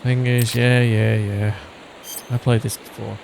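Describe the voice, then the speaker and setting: A man hosts a gaming video with casual, slow-paced commentary. His mid-pitched voice is creaky, and hissy game ambience is clearly audible behind him. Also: flat delivery.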